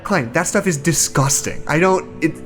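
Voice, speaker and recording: A man acting as a concerned coworker in a naturalistic audio drama, casual, halting and full of questions. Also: on the high side, a bit bright, soft background music, close to an everyday voice. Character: concerned, hesitant male coworker chatting at a bar.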